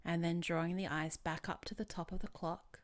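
A woman leading a guided tapping session as a therapist, giving gentle, measured step-by-step instructions with soothing pauses. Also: fairly low pitch, flat delivery.

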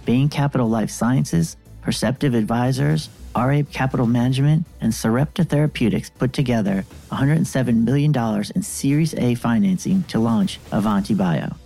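A man's voice: medium pitch, moderate pace, gravelly, few pauses, mellow, soft background music. A man presenting a scripted business news roundup. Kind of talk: scripted industry news reading.

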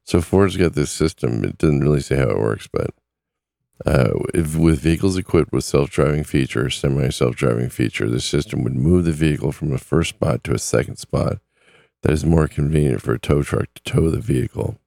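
A man's voice: extremely low pitch, vocal fry, mellow, dry recording, clean studio sound. A man who is losing his voice, hosting a casual talk podcast in a chatty way. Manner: chatty, repeats numbers slowly. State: losing his voice, sore throat. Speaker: podcast host.

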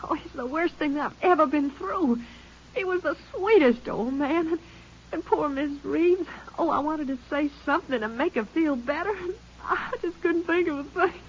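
A woman's voice: sits high, normal speed, a little hiss or hum, natural voice. A woman playing a nervous, stammering young witness. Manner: hesitant, stammering. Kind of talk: 1950s radio drama acting. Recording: dull old radio broadcast.

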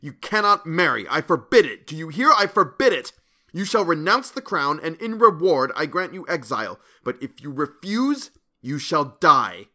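Gothic audiobook madman , male reader: grandiose, paranoid, imperious claimant to a throne, sharp forceful tone, melodramatic delivery. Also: medium pitch, animated, moderate pace. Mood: furious, shouting. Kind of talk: dramatic gothic audiobook acting.

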